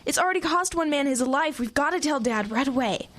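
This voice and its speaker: A woman's voice: high voice, expressive, brisk, a bit bright. A woman playing a skeptical, science-minded teenage schoolgirl in a radio drama, speaking in an earnest, insistent way.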